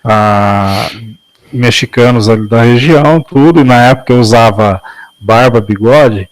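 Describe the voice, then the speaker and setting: A man telling a personal story in a casual study-group discussion on a video call, in a calm, measured way. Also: mid-range voice, dry recording. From Brazil.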